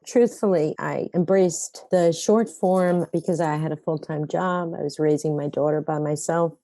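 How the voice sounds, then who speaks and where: Low, mellow-voiced woman telling stories. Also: steady tone, moderate pace. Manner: chatty storyteller, wry and self-mocking. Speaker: playwright guest. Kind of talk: conversational interview.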